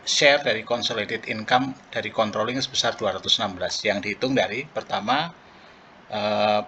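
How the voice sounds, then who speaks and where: A man giving an explanatory lecture in a halting way, pausing mid-phrase, on a muffled recording. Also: lowish voice, pushed and bright, a little hiss or hum. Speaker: accounting lecturer. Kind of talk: explanatory lecture walking through worked journal entries.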